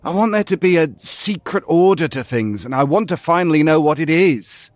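A man's voice: on the high side, lively intonation, normal speed, no room sound, lightly acted. A man playing a weary, stubborn veteran player of a surreal game, wry and conversational in a naturalistic audio drama. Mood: yearning, insistent.

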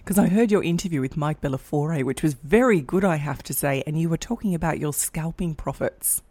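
A woman's voice: sits low, fairly quick, mellow, no room sound. A woman hosting a talk podcast, asking direct questions to prompt her guest. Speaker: podcast host. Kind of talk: interviewing a guest.

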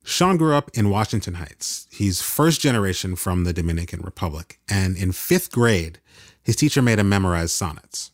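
A man's voice: low voice, expressive, normal speed, dry recording, studio quality. A man hosting and narrating a documentary in scripted narration. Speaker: host and narrator.

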